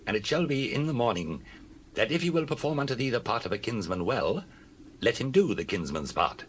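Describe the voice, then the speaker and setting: An actor playing a kindly, dignified landowner of ancient Israel in a dramatized Bible reading, solemn and measured. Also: male voice, fairly low pitch, a bit bright, a little hiss or hum.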